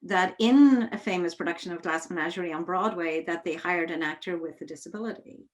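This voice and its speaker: A woman's voice: lowish voice, brisk. A woman interviewing in a conversational way, thoughtful and often rephrasing herself as she goes. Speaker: interviewer, theatre artistic director.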